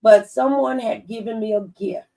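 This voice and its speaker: A woman's voice: a little high, normal speed, gravelly, dark tone, recorded from a distance, low-quality recording. A woman hosting a radio talk show, speaking emphatically as if giving testimony. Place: radio studio.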